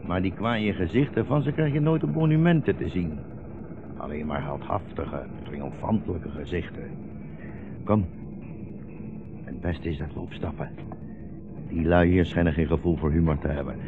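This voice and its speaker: Wry old blind rabbi. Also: male voice, low-pitched, normal speed, pauses often, music in the background. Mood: weary, resigned. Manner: ironic, rhetorical. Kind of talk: 1960s radio play acting. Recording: muffled, noisy 1960s radio recording.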